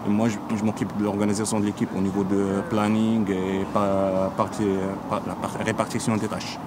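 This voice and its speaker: A man in his thirties from Morocco speaks earnestly in a testimonial, halting and restarting. His voice sits low and stays flat. Clear background noise runs under the whole recording. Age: 30s. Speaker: shower team leader, interpreter. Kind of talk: testimonial interview.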